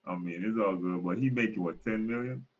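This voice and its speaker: A man's voice: fairly low pitch, fairly quick, slight background noise. A man co-hosting a casual sports chat, laid-back and slangy. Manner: laid-back, slangy, says bro a lot. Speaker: co-host. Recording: muffled lo-fi line.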